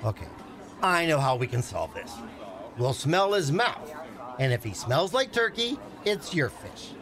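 An actor playing a stubborn, indignant amateur angler bickering in a comic radio play. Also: male voice, a little high, big pitch swings, measured pace, a bit bright. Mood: stubborn, insistent. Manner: bickering, exasperated.

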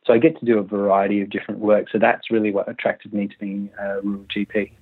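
A man in his thirties with an Australian accent, a country doctor answering interview questions in a hesitant, halting way with many fillers. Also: fairly low pitch, little pitch movement, husky. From Malaysia, raised in Western Australia. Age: 30s. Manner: hesitant, many restarts and fillers, laughs easily. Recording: tinny phone line.

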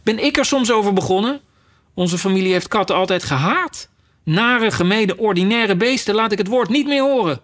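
A shrill, touchy little mouse, put on by a male storyteller, squeaking high and indignant in a storybook reading. The voice trembles and bristles, with a gravelly edge underneath. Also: moderate pace. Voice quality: shrill, excited.

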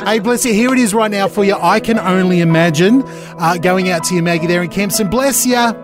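A man's voice: high-pitched, fairly quick, over music. A man hosting a breakfast radio show, chatting casually with lots of fillers. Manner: chatty, upbeat, many fillers. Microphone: studio microphone.

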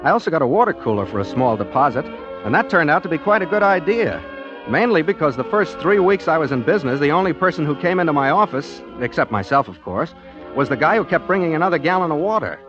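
A naive young American private eye in an old radio play. His voice sits fairly high and swings freely as he rambles politely along, on a muffled vintage recording with music behind. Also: very animated, fast. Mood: wry, chatty. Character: eager, naive young ex-soldier turned private detective. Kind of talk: 1940s radio drama acting, chatty first-person narration.

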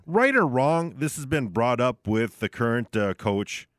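A man's voice: medium pitch, very animated, measured pace, dry recording, clean studio sound. A man co-hosting a radio sports show, talking casually and conversationally, often checking in with short tag questions. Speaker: sports radio host in studio. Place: radio studio.

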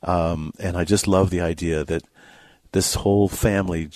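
Low-voiced, dry-recorded American male critic. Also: fairly even intonation, normal speed, a little mellow, no echo. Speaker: radio co-host and movie critic. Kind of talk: casual review banter.

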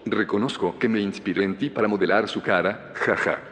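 An actor playing a calm, secretive male scientist who leads a hidden community, measured and evasive, in an audio drama. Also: fairly low pitch, lightly acted. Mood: amused, confiding. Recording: reverberant cave with noisy ambience.

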